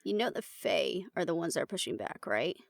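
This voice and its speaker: A woman's voice: lowish voice, sing-song, fairly quick, gravelly, no echo. A woman playing an uptight, weary researcher in a fantasy audio drama, with a dry, exasperated way of talking. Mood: matter-of-fact. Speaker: voice actor in an audio roleplay. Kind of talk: fantasy audio drama roleplay, conversational acting.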